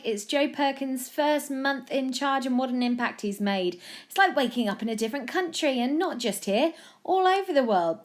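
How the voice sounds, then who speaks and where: A woman's voice: high voice, pitched above the performer's own voice. A woman voicing an eager news reporter in a comic story, in the style of a live report from the street.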